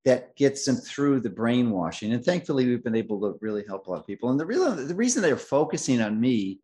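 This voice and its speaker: An assured middle-aged man introducing his guest and talking in a health interview. He speaks emphatically at an ordinary pitch, slowing down to press his points and often restarting sentences. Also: big pitch swings, dark tone. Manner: emphatic, self-assured, with many restarts and slowed stresses. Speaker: host. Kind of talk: interview host, long introduction.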